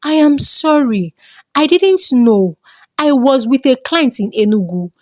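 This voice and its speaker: A woman's voice: high voice, expressive, a little slow, darkish tone, no echo, studio quality, pitched above the performer's own voice. A woman with a Nigerian accent voicing a cold, complaining young husband in a folktale. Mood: apologetic. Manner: dismissive, complaining.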